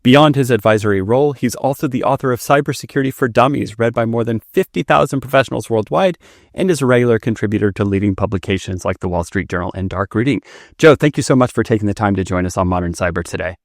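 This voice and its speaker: A man's voice: fairly low pitch, quick pace, no echo, studio quality. A man who is a native Finnish speaker, hosting a conversational podcast interview. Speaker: podcast host interviewing a guest.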